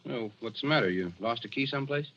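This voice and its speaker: A man's voice: medium pitch, fast, fairly bright tone, natural voice. A man acting a folksy, sardonic police officer in a 1940s radio drama. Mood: affable. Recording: dull, noisy vintage 1940s radio recording.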